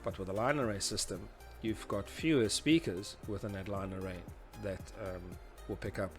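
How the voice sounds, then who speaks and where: A man with a fairly low voice presents a technical lesson. He explains step by step in an informal, conversational manner, as if talking someone through diagrams. Soft music plays faintly behind him. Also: moderate pace. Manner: informal, conversational, often adds "you know" and "obviously". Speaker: presenter of a tutorial series on PA systems.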